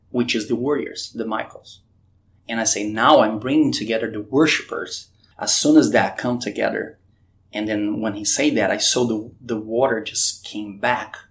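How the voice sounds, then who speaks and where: A Brazilian man tells his story of faith in an earnest, retelling manner, speaking English with a Brazilian Portuguese accent. His voice has a gravelly, creaky texture at a mid pitch. A faint hiss of noise sits under the recording. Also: fairly bright tone. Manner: earnest, retells dialogue with frequent restarts. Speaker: missionary guest. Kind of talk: storytelling testimony.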